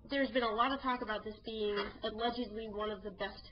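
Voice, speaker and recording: A woman's voice: on the high side, fairly even intonation, fast, lo-fi sound. A woman co-hosting a casual movie chat podcast, upbeat and steering the conversation. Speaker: podcast co-host.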